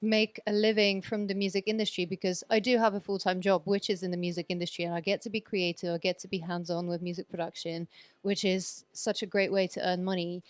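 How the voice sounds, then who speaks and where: A woman in her thirties, born in Poland and raised in Sweden, chats freely in a podcast interview. Her voice is smooth and clean. She rambles with lots of fillers, and the sound is close and dry. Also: lowish voice, little pitch movement, fast, no echo. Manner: chatty, rambling, many fillers and restarts. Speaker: guest DJ.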